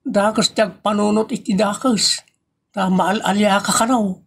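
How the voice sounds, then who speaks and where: A man playing an excitable, bumbling husband in a comic audio drama, with a creaky, husky voice and an exclamatory delivery. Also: sits high, moderate pace. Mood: eager, blustering.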